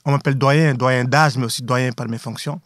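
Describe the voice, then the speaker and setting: A man, a professor speaking in a measured, formal way in an interview. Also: mid-range voice, fairly even intonation, fairly bright tone, no echo, clean studio sound. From Senegal. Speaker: guest, university professor. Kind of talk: conversational interview.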